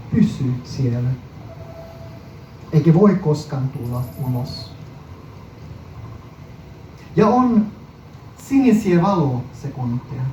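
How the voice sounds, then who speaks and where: A man reading his own poem at a live indoor performance, deliberately and in short phrases with frequent pauses. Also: on the high side, warm tone, reverberant. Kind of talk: poetry recital, performance reading. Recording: muffled, noisy live recording from far off.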